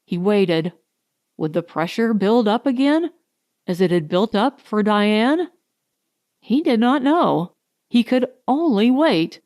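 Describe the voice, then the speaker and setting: A woman reading a science fiction audiobook as a dramatic narrator, with deliberate, suspenseful, weighted phrasing. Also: medium pitch, lively intonation, darkish tone, dry recording, studio quality.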